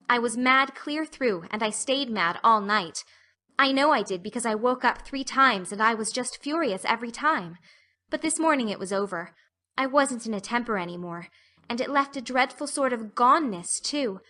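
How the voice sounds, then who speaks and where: Woman playing an earnest, bright-voiced young girl. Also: a little high, normal speed. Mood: wistful, earnest. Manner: plaintive, solemn, wordy. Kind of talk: dramatised audiobook acting.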